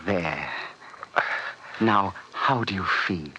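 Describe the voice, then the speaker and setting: A sinister, menacing villain savoring every word in a smooth, low voice. His tone is bright and forward. It sounds like a vintage radio broadcast with a faint hiss under it. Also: very slow, full character voice, rough recording. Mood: coaxing. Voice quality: smooth, relishing, silkily menacing. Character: sinister older man nicknamed fat man, menacing. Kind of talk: 1940s radio acting.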